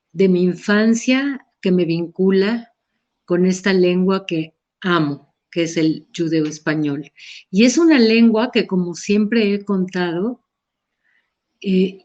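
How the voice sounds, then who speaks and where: A woman's voice: fairly low pitch, a little mellow. A middle-aged Mexican woman with a Mexican Spanish accent, speaking thoughtfully at a talk with hesitations and restarts, in a slightly muffled recording. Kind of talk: personal reflective talk.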